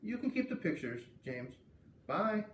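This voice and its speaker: A man's voice: high voice, sing-song, unhurried, fairly bright tone, voice pushed up, full character voice. Man reading as an earnest young schoolgirl, echoey room. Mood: gentle. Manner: earnest, plain-spoken. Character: kind, upset farm girl, earnest young student. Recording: roomy, distant mic with faint noise.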